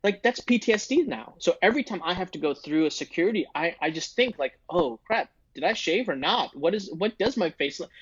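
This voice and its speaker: A man's voice: high voice, lively intonation, fairly quick, few pauses, husky, no room sound, highs cut off. A man talking earnestly in a casual faith discussion, with many restarts. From Indian heritage, not thick accent. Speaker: podcast co-host, ministry team member.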